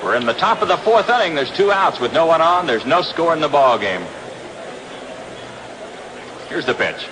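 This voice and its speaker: A very noisy 1960s outdoor radio broadcast carries an American man calling baseball. His voice sits fairly high and moves widely in pitch. He reports each play in short, clipped phrases. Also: sing-song, normal speed, long pauses. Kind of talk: live radio play-by-play commentary.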